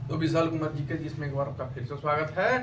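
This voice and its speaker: A man's voice: fairly high pitch, level tone, fast talker. An Indian man teaching an exam-prep quiz lesson as host, speaking emphatically and repeating questions and answers for his students. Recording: echoey room with faint background noise.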